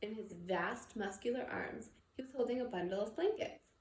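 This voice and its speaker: A woman reading a novel aloud as expressive scripted storybook narration. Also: fairly high pitch, normal speed. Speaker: storybook reader. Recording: echoey room with background noise.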